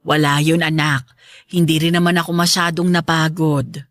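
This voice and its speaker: A woman's voice: low-pitched, level tone, moderate pace, no echo, close to an everyday voice. Woman doing a motherly filipino mom for radio drama, aging, protective, kinda stubborn, dry studio sound. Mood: proud, reassuring. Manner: motherly, chatty, firm.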